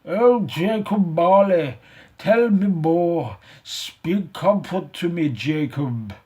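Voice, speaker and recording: A man's voice: mid-range voice, slow. A man voicing a frightened, stubborn miser who trembles and pleads with a ghost. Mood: pleading.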